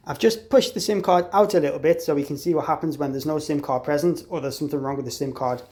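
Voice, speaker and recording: A man with a slightly higher-than-average voice walks through a hands-on installation tutorial. He explains in an informal, practical way, like an installer showing a product. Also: fast, darkish tone. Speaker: installer demonstrating an intercom product.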